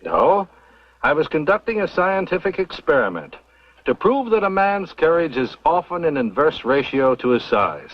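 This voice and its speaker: A learned, skeptical professor in a radio western. His husky voice moves up and down with each probing phrase. The sound is dry and muffled, like old radio. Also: on the high side, very animated, no echo. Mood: dry, knowing. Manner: measured, inquisitive, learned. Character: shrewd, skeptical male professor in the Old West. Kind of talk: old-time radio drama acting.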